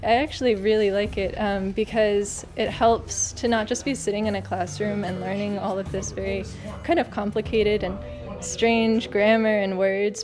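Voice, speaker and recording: Young female student, upbeat and chatty with fillers, music bed behind. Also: mid-range voice. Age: young adult.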